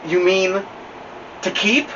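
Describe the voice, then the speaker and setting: An earnest storybook schoolgirl, played by a man, pitched up from his own voice. She is a responsible, easily exasperated big sister who protests readily. The recording is muffled and distant from the mic. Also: steady tone, slow, background noise. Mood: protesting.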